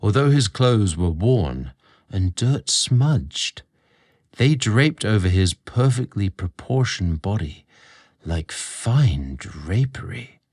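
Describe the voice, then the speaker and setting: A man narrating a scripted romantic story in a dramatic, expressive storytelling manner. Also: sits low.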